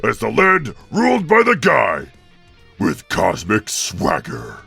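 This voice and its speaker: A man voicing a pompous, taunting monster king in a cartoon parody, with a rough, gravelly voice. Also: on the low side, huge pitch swings, takes it slowly, sharp tone, faint music behind, full character voice. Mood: pompous, boastful.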